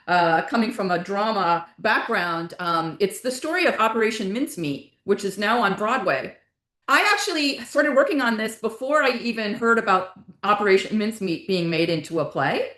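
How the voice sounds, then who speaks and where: A woman's voice: fairly low pitch, a bit bright. Woman explaining her work, echoey room. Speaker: guest, author and playwright. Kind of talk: casual interview talk about own work. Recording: roomy, echoey indoor sound.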